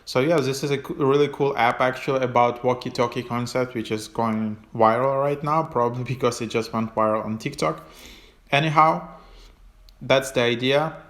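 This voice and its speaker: Flat, hesitant man giving an app walkthrough. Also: medium pitch. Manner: hesitant, halting delivery with trailing phrases. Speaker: host of an app tutorial. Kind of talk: casual unscripted app walkthrough.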